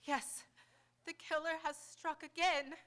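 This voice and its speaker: A woman playing an excitable, fussing neighborhood shopkeeper in a live radio drama, loud and exclamatory. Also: high-pitched, takes it slowly. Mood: alarmed. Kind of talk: live old-time radio drama acting.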